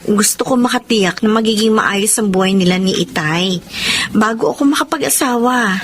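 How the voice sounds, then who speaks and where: A woman's voice: mid-range voice, moderate pace, slight background noise, close to an everyday voice. A woman playing a patient, self-sacrificing young housemaid working abroad in a Filipino radio drama. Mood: firm, hopeful. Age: young adult.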